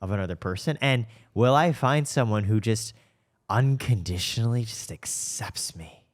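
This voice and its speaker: A man's voice: mid-range voice, expressive, normal speed. A man talking candidly and casually as a podcast host, reflective and given to rhetorical questions. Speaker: show host and company co-founder.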